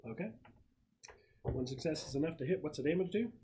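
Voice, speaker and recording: A man's voice: on the high side, lively intonation, a little fast, mellow. A man acting as game master, talking casually through rules and describing what happens, in a noisy recording. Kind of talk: casual tabletop game talk and rules explanation. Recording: clearly noisy room recording with clicks.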